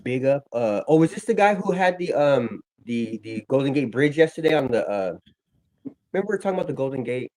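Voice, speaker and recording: A man's voice: mid-range voice, lively intonation, fairly quick, a little mellow. A man chatting casually on a live stream, hesitant and searching for his words. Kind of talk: casual chat.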